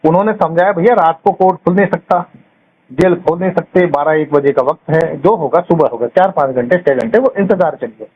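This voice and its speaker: A man's voice: on the high side, fairly quick. Blunt man on a muffled phone call. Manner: blunt, scornful, colloquial, rhetorical questions. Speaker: caller giving his account. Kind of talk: informal phone conversation, long accusatory monologue. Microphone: telephone. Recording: muffled phone call recording, clear noise.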